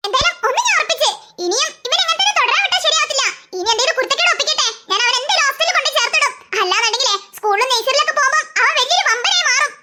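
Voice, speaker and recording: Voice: extremely high pitch, animated, racing, hardly any pauses. A performer voicing a stern young mother who scolds and threatens a naughty son. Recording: loud background noise.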